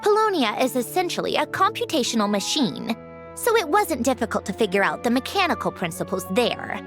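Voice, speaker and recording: A woman's voice: fairly high pitch, sing-song, brisk, soft background music. A woman voicing a proud, sardonic female puppet inventor in a video game cutscene, explaining things in a dry, cool, slightly haughty way. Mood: subdued.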